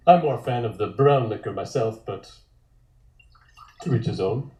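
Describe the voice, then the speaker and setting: A man's voice: mid-range voice, animated, moderate pace, warm tone. A man narrating scripted stage directions for a table read, with dry, wry asides. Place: indoor room, multistream call. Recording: roomy, echoing home recording.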